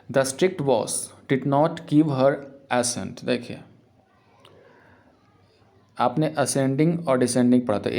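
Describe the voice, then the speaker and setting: Slow, deliberate teacher, man. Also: medium pitch, warm tone. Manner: explains in Hindi, reads English example sentences slowly and deliberately. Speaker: English teacher. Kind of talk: exam-prep grammar lesson.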